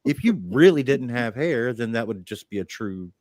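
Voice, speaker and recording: A man's voice: mid-range voice, expressive, moderate pace, a little mellow, a little hiss or hum. A man, chatting casually on a video call, wry and teasing, given to rambling. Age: 50s. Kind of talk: casual group chat on a live stream.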